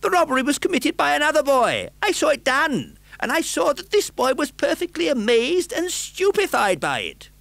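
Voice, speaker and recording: A breathless elderly bookstall keeper bursts in, frantic and indignant. A man puts him on in a strained, piercing high register, bright and close. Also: wildly swooping pitch, moderate pace, few pauses, no echo, exaggerated voice. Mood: insistent.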